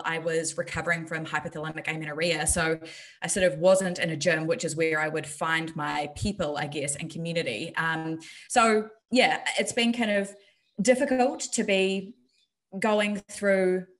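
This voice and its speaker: A woman's voice: on the low side, a bit bright. New Zealand woman on a video call. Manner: open, reflective, many ums. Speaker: guest, health and performance coach. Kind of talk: candid interview over video call. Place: bright room at home. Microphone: desk mic on video call.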